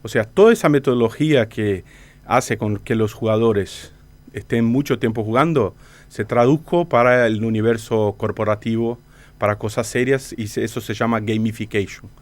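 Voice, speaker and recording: A man from Brazil with a Brazilian accent and native Portuguese, giving halting explanatory interview answers. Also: medium pitch. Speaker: interview guest, company director.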